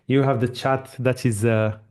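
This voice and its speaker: A man's voice: mid-range voice, fairly even intonation, unhurried, darkish tone. Encouraging Moroccan-born man hosting a webinar. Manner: friendly, encouraging, with frequent fillers and restarts. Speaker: webinar host and AI trainer. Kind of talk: live online webinar presentation.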